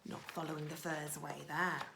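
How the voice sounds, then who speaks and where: A woman's voice: sits low, flat delivery, moderate pace, vocal fry, bright, forceful tone, slight background noise. A woman teacher reading a storybook expressively to young children, adding simple little asides. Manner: simple, child-directed phrasing.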